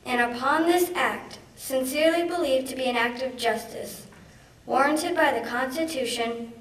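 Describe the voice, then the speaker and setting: A young woman reads a proclamation aloud in a formal style. Her voice is high and reaches the microphone from afar through an echoey hall. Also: moderate pace, fairly bright tone. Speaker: student reader. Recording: echoey hall, distant mic.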